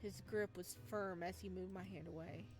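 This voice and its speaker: A woman reading a visual novel aloud and reacting with animated shock. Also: lowish voice, moderate pace, music in the background.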